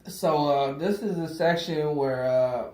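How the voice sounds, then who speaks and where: A man's voice: a little high, unhurried, darkish tone. A young man hosting an interview show, casual and slangy, often asking "you know what I mean". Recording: roomy sound, mic at a distance.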